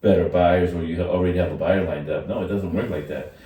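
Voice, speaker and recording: A man's voice: deep voice, steady tone, fast, warm tone, a little hiss or hum. A man co-hosting a podcast, chatting casually about real estate and often saying "you know" and "yeah". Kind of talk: casual chat. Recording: roomy sound from a distant mic.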